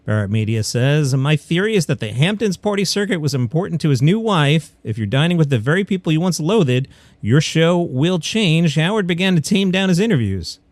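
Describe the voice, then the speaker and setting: A man's voice: fairly high pitch, big pitch swings, a little fast, no room sound. A man hosting a comedy news podcast, reading stories and adding wry, opinionated asides. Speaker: podcast host. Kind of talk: comedy news commentary, partly scripted.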